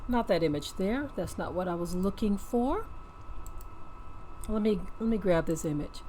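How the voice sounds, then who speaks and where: A woman's voice: medium pitch, expressive, moderate pace, dark tone. Emphatic woman commentator, noisy background. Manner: emphatic, repeats phrases, seeks agreement with okay and right. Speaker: host of a religious commentary channel. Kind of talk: off-the-cuff commentary to viewers.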